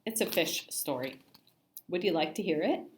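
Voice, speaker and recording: A woman's voice: lowish voice, lively intonation, moderate pace, echoey room, lightly acted. A woman voicing a kindly, playful grandmother who explains things patiently in a children's story. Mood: gently teaching. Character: kindly, playful grandmother giving gentle advice.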